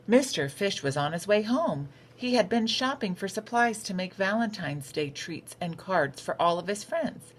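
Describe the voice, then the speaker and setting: A woman reading a picture book aloud for children in an expressive, unhurried storytelling manner. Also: mid-range voice. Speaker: storytime reader. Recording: noticeable background noise.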